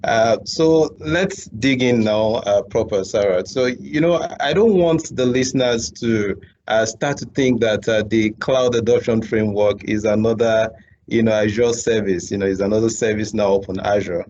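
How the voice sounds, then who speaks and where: Hesitant man hosting, lowish voice. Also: moderate pace, darkish tone. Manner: hesitant, many uh fillers and repeated words. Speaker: show host. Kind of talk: interview hosting. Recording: dull lo-fi audio, faint background hum.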